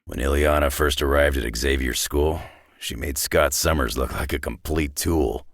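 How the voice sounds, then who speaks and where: A man voicing a gruff, weary middle-aged superhero brawler for a video game, with a deep raspy growl and terse, dry, folksy tough-guy talk. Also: normal speed, no echo. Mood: dry, reflective. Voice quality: deep gravelly rasp.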